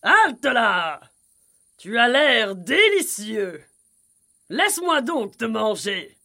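A forceful, high-voiced horse acted by a woman from a children's audio tale. It is greedy and hungry, calling out commandingly with big swoops. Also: sing-song, extremely slow, exaggerated voice.

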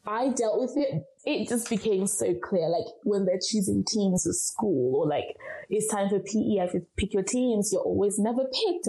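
A woman's voice: mid-range voice, dark tone, rough recording. A young woman from Zimbabwe, answering interview questions with earnest, encouraging advice. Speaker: guest speaker.

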